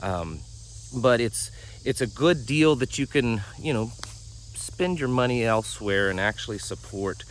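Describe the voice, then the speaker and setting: A man hosting his own channel, talking casually with a raspy, worn-out voice and many fillers and restarts. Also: medium pitch, lively intonation, a little slow, a little hiss or hum. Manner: rambling, frequent fillers and restarts. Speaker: channel host. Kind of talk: casual talk to camera.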